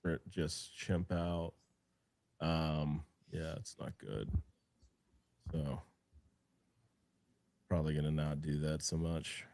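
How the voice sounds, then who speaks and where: A man co-hosting a podcast, talking in a deadpan, mumbling way as he teases his friends in casual banter. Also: a bass voice, slow, long pauses.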